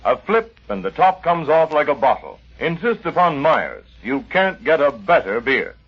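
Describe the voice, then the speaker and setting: Male 1930s station announcer and pitchman: animated intonation, slightly high voice, dull vintage radio recording. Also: normal speed, breathy edge.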